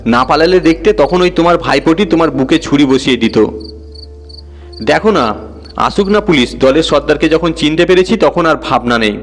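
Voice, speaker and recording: Man voicing a snappish, scornful wife, raised pitch. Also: animated, moderate pace, big-room sound, soft background music. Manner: scolding, snappish, certain of herself. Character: sharp-tongued, scornful, nagging wife.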